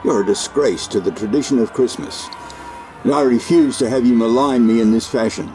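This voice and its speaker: An older man playing a merry, dignified old gentleman in a radio drama, speaking formally and earnestly with an admonishing streak. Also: medium pitch, a little fast, faint music behind, close to an everyday voice, poor audio. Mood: indignant.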